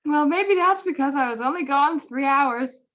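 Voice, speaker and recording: A woman's voice: sits high, normal speed, a bit bright. A woman playing a poised, teasing, affectionate detective's wife in a radio play. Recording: muffled video-call recording.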